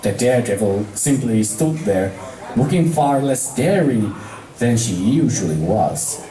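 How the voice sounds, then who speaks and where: An amateur reader narrating fan fiction aloud in a halting way, stumbling over words. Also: medium pitch, mellow, recorded from a distance. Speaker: story reader. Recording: echoey room.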